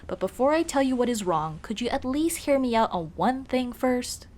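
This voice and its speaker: A woman's voice: on the high side, expressive, normal speed, darkish tone, lightly acted. A woman playing a young tomboy childhood friend, casual, teasing and caring, in a scripted ASMR roleplay. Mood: hesitant, candid. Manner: casual, teasing, addresses listener as dude. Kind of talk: ASMR roleplay, scripted one-sided conversation.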